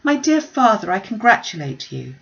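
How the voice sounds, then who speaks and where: Woman playing a sweet, hopeful young gentlewoman, higher-voiced. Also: sing-song, normal speed, creaky, dull audio. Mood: joyful.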